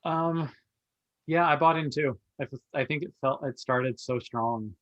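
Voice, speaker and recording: A man's voice: medium pitch, unhurried, no room sound, muffled recording. A man chatting casually on a podcast panel in an easygoing, offhand way. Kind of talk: casual chat.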